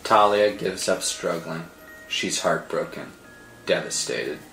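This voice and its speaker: Male narrator for script read: dramatic delivery, deep register, roomy sound, score behind. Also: takes it slowly, vocal fry, a bit bright. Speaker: narrator reading stage directions. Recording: roomy sound with music underneath.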